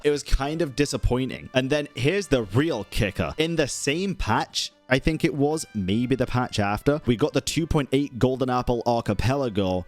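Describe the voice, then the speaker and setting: A young man giving casual, opinionated gaming commentary in a blunt, slangy manner. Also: lowish voice. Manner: blunt, slangy, frequently swearing. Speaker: gaming YouTuber. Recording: music playing faintly behind.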